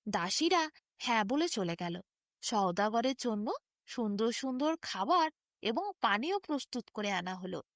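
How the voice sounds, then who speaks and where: High-voiced, expressive woman storyteller. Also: measured pace, dry recording, studio quality. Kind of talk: scripted fairy tale narration.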